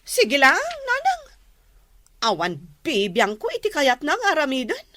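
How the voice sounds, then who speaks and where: A woman playing a jealous, quarrelsome middle-aged wife in a radio drama, with sharp, indignant retorts. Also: high voice, huge pitch swings, unhurried, exaggerated voice. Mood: defiant, vengeful.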